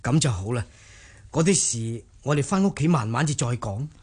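An actor playing a remorseful gentleman of a wealthy household in a Cantonese radio drama, earnest and placating. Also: medium pitch, lively intonation, normal speed, slightly rough. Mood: apologetic.

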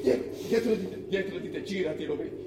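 A very high-pitched young prince comes through a noisy, echoing stage recording. He is an agitated, grieving son, stubborn and repetitive, speaking with theatrical emotion. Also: fast talker. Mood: bewildered. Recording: echoey, distant, clearly noisy stage recording.

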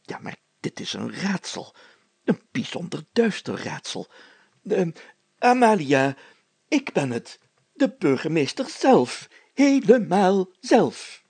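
A man's voice: high voice, very animated, slow, gravelly, a little mellow, slightly rough, no room sound, pitched above the performer's own voice, full character voice. A man voicing a pompous, flustered burgomaster in a story, with blustering, old-fashioned formal speech. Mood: flustered, indignant. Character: pompous, flustered male burgomaster and police chief.

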